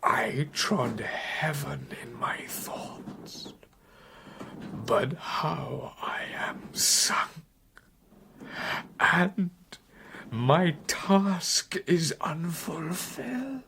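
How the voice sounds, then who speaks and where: Very slow, whispering, dying man. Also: on the high side, animated, exaggerated voice. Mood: despairing. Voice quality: breathy, whispery, weak. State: failing, near tears. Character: dying, tormented man, despairing and obsessed with vengeance. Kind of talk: gothic radio drama acting.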